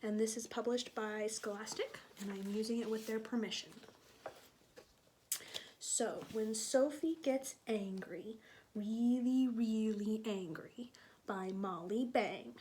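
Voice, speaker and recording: A woman teacher reading a picture book to young children, explaining patiently and pausing to ask them questions. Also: on the high side, noisy background. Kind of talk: children's read-aloud with questions to listeners.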